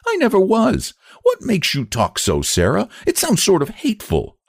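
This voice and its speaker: A prickly, envious country spinster, as a man reading aloud puts her on, drawling out her barbs and snapping back. Her voice rides high and swings widely. Dry, close sound. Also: huge pitch swings, moderate pace, vocal fry, husky, no room sound, full character voice. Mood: sniping. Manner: drawling, snappish rural speech. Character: Lottie, sharp, jealous, sniping spinster woman.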